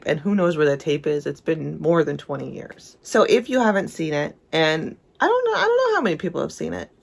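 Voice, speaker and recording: A low-pitched woman talks casually about film as a video essayist. She is enthusiastic and readily gives her opinions. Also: big pitch swings, fairly quick, gravelly. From England.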